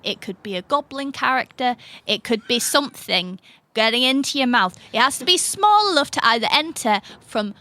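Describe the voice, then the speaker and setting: A woman's voice: a little high, lively intonation, normal speed, pushed and bright. A woman playing a defensive, sad small-town dentist who rambles hesitantly in improvised role-play. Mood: rambling, earnest.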